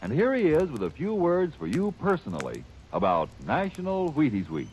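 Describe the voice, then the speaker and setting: A man's voice: a little high, huge pitch swings, moderate pace, a little mellow, low-quality recording. A man announcing a radio sponsor message in a chatty, persuasive way. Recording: old radio broadcast, faint hiss.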